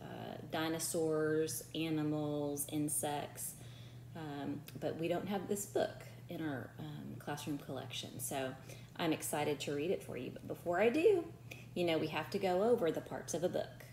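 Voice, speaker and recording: A woman's voice: low voice, a little slow, darkish tone. A woman in her forties, a teacher reading a picture book aloud to young children in an encouraging way, with chatty asides. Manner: encouraging, addresses unseen children with questions. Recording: roomy echo, faint background noise.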